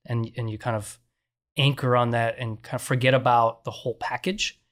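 A man with an ordinary middle-pitched voice talks casually as a guest. He thinks aloud, leaning on fillers and repeating words as he works toward his point. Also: steady tone, moderate pace, fairly bright tone. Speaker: startup co-founder, guest. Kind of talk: casual conversation.

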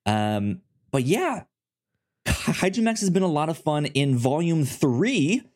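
A man's voice: fairly high pitch, big pitch swings, slow pace, darkish tone. A young man co-hosting a casual podcast chat, stammering hard on the first sounds of words. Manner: stutters heavily on first sounds. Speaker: podcast co-host.

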